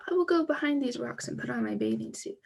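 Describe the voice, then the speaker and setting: A woman reading a children's book gives voice to a shy, gloomy toad who is proud and easily embarrassed. The voice is high, husky, and edged with gravel, and it is read softly and plainly. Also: lively intonation, quick pace, distant mic, heavily acted. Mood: fretful. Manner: gentle storybook read-aloud voice. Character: shy, glum, prideful male toad.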